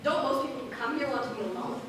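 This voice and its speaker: A woman's voice: sits high, quick pace, lightly acted. A young female student actor playing a sweet, candid girl who blames herself, in a school stage play recorded in an echoey hall. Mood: friendly. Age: teens or young adult. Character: sweet, candid young woman, self-blaming beneath cheerfulness. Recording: echoey noisy hall from far off.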